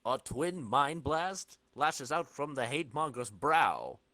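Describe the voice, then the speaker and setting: A man's voice: medium pitch, sing-song, slow pace, bright, forceful tone, no echo. A man narrating a comic book as a dramatic reading, with theatrical storyteller phrasing. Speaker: podcast host and narrator.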